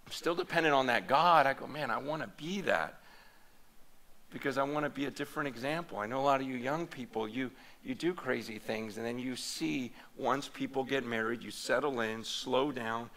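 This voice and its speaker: A man preaching a conference sermon in a loose, animated, conversational way, full of fillers and restarts. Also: medium pitch, moderate pace. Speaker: pastor. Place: large hall or meeting room before an audience.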